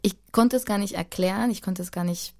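A woman's voice: mid-range voice, fairly quick, warm tone. A woman in her thirties from Spain, a native Spanish speaker, talking reflectively and casually as an interview guest in loose, searching phrases. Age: 30s. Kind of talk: casual conversational interview, personal storytelling.

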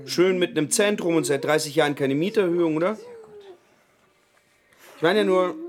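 An actor playing a tactless, shameless old man in a radio play, pushy and mock-polite as he pesters people. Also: on the high side.

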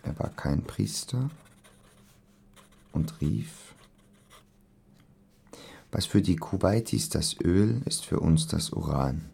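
An actor playing a brooding young man in a radio drama, murmuring haltingly as he composes a letter. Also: animated, warm tone, heavily acted. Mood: slow, absorbed. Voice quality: low, gravelly murmur. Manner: halting, repeats phrases under his breath.

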